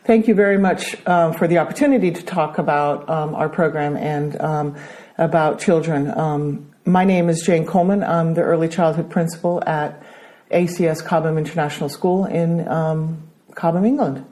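A woman's voice: deep voice, steady tone, moderate pace. A middle-aged woman with an American accent, a school principal answering interview questions with many hesitations. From Brazil. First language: Danish. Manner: hesitant, many ums, warm about her work.

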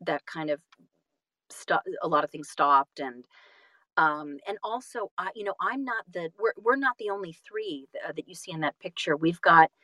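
A woman speaks as a guest in a casual interview. Her voice sits a little low and the sound is very dry. She is effusive, often breaking off and rephrasing as she goes. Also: expressive, sharp tone, dry recording. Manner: effusive, halting and self-correcting. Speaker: interview guest, singer and audiobook narrator.

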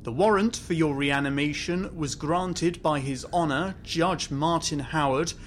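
A stern officer of future law, acted by a man in an audio drama. His somewhat high voice delivers charges in a formal, commanding way, with a faint rumble behind it. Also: unhurried, close to an everyday voice. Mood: stern, official.

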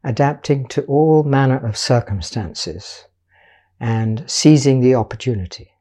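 Older woman from Portugal, warm husky voice, unhurried storyteller, explains things patiently. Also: very deep voice. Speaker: guest artist. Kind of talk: reflective life-story interview.

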